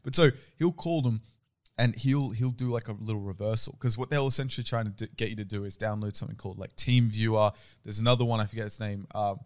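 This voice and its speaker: A man's voice: lowish voice, fast talker. A young man with an Australian accent co-hosting a podcast, talking in casual, slangy, profane banter. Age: young adult. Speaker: podcast co-host.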